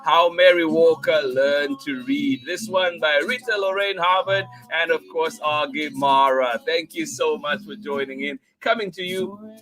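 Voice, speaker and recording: A man's voice: fairly high pitch, unhurried, a bit bright, low-quality recording. An American man hosting a children's online story time, effusively greeting viewers and repeating his thanks. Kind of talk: live stream greetings to viewers before a picture book read-aloud.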